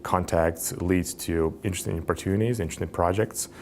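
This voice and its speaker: A young man from Russia in his twenties, a master's student speaking haltingly with many fillers in a testimonial interview. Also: deep voice, fairly even intonation, gravelly, darkish tone, a little hiss or hum.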